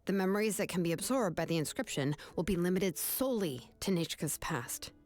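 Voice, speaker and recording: A woman voicing a composed, principled young researcher in scripted game dialogue, speaking in a measured, articulate, firm way. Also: lowish voice, expressive, a little mellow, natural voice. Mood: firm, businesslike.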